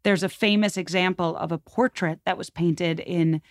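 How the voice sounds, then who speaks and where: Lower-pitched woman explaining history, close dry sound. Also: steady tone, moderate pace, no echo. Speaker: podcast guest explaining history.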